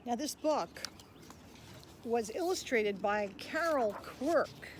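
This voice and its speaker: A woman presenting a children's picture book in an expressive read-aloud style, speaking directly to young listeners. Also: fairly high pitch, slow. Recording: clear background noise, animal sounds.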